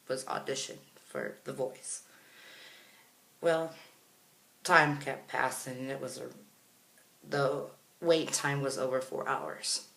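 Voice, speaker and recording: A man's voice: mid-range voice, creaky, a bit bright. An American man telling a casual, rambling story for a vlog, chatty and often correcting himself. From Kansas, United States. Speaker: vlogger. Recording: roomy, echoey sound.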